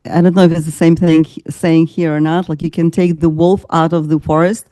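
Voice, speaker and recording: A woman's voice: deep voice, little pitch movement, a little fast, mellow, no echo. Older Ukrainian woman with an accent. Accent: Eastern European. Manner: laughs often, many fillers. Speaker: guest coach. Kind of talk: casual interview chat. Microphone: video call.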